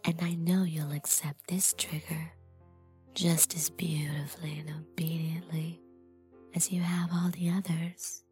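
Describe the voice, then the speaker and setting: Slow, low, whispery woman giving hypnotic commands. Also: flat delivery, a little mellow, soft background music. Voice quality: breathy, often whispering. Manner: dominant, deliberate, commanding. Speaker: erotic hypnosis performer. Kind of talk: erotic hypnosis audio, scripted.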